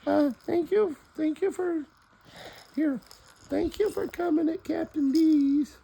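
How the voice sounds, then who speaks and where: A woman voicing an awkward, stubborn restaurant worker puppet with a halting manner in an improvised puppet show. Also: high voice, creaky, warm tone, slight background noise, heavily acted, low-quality recording. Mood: apologetic.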